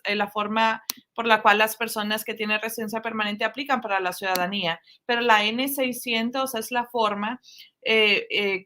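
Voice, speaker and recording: A woman interpreting a lawyer's answers into Spanish on a live show, pausing with fillers. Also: mid-range voice, bright, forceful tone, slight background noise. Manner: relays answers, repeats "dice la abogada".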